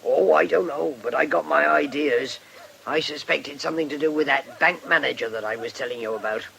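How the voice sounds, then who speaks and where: A man's voice: a little high, brisk, gravelly, fairly bright tone, slight background noise, rough recording. An actor playing a chatty, deferential working man with folksy rustic phrasing in a radio drama. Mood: gossipy, knowing.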